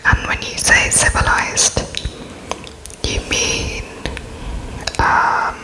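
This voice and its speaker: A person whispering as an interviewer in an ASMR roleplay, attentive and softly inquisitive, with halting phrases as if writing notes while speaking. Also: rough recording. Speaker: interviewer noting down answers.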